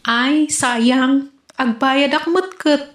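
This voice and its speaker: A woman's voice: high voice, fairly even intonation, extremely slow, roomy sound. Woman playing a teasing Ilocano neighbor. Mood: chatty. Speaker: actor in a comedy skit. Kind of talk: comedy skit acting.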